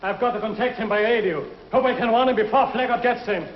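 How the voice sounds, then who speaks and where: An actor playing an anxious, stern prison warden in a 1950s TV drama, speaking urgently and formally. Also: male voice, high-pitched, quick pace, lightly acted. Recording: dull lo-fi 1950s TV soundtrack.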